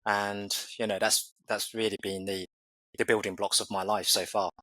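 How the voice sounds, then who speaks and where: A man's voice: lowish voice, level tone, normal speed, pushed and bright, no echo, rough recording. A man in his forties from England, answering interview questions thoughtfully with many fillers and restarts. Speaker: podcast guest.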